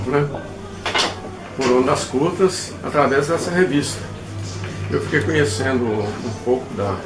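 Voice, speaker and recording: A middle-aged Brazilian man recalling his hobby in a halting, reflective interview style. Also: mid-range voice. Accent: Brazilian Portuguese. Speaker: shortwave radio listener. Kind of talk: casual documentary interview recollection. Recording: echoey room, music behind.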